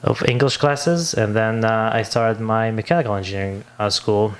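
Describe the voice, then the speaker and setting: A young man from Libya speaks, English plainly a to him. He talks as a podcast guest in a casual, halting way, pausing often to find the right word. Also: fairly low pitch, steady tone. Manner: halting, searches for words. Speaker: podcast guest, business owner. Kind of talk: casual interview chat.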